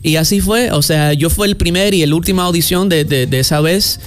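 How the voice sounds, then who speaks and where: A man's voice: fairly high pitch, a little fast. A man from Chicago, a salsa singer, telling his story casually in a radio interview, with many restarts. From Chicago, United States.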